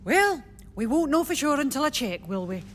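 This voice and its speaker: A woman playing a brisk, bossy, money-minded midwife in an audio drama, commanding in manner. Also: sits high, very animated. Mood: knowing, wry. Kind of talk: musical audio drama acting. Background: music.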